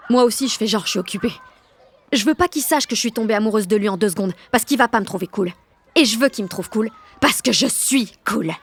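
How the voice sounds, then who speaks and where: A woman's voice: fairly high pitch, a bit bright, slight background noise. An actress playing a brash, lovestruck sixteen-year-old girl, narrating an audio drama in sarcastic, dramatic, slangy speech. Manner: slangy, foul-mouthed, self-mocking. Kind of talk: first-person audio fiction narration with acted dialogue.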